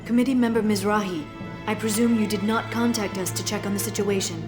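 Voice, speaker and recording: A woman's voice: mid-range voice, fairly even intonation, a little fast, lightly acted. A woman voicing a polite, serious female officer in a game cutscene, formal and careful in her speech. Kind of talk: video game cutscene voice acting. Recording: roomy echo with background music.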